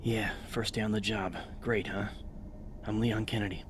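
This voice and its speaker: A man acts out a young rookie cop in a horror audio drama, anxious yet protective and determined. The voice is low, terse and urgent, over noisy ambience. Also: flat delivery, moderate pace, close to an everyday voice.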